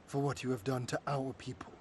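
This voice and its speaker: A man's voice: medium pitch, little pitch movement, a little fast, slight background noise, close to an everyday voice. A man playing a righteous, resolute young lord in a video game, earnest and commanding. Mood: stern accusation. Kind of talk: heroic video game cutscene acting.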